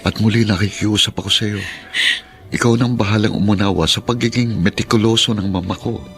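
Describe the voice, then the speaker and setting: An actor with a Filipino accent playing a gentle, placating young husband in a radio drama. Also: male voice, lowish voice, steady tone, fairly quick. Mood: soothing, pleading.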